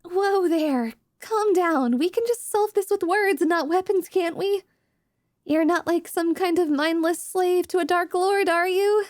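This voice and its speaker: A woman plays a breezy young adventurer in a fantasy audio drama. Her voice is high and girlish, recorded very dry. She rambles casually and cheerfully, like a friendly party member. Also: a little slow, a little mellow, dry recording.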